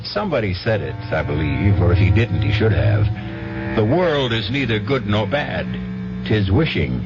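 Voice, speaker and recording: Male radio drama host: deliberate, theatrical, muffled vintage broadcast over loud music. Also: fairly low pitch, very animated. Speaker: host and narrator. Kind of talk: 1970s radio mystery narration. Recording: dull 1970s AM radio broadcast.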